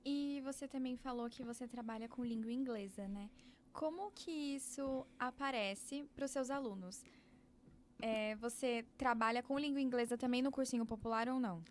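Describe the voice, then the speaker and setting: A woman's voice: a little high, normal speed, slight background noise. A woman interviewing a podcast guest, with short follow-up questions and brief acknowledgments. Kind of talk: casual interview conversation.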